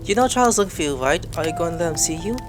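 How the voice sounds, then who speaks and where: A woman's voice: fairly low pitch, racing. A woman hosting a game playthrough, reading dialogue aloud and joking along with plenty of swearing. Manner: joking, foul-mouthed, animated. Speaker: gameplay video host. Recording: game music behind.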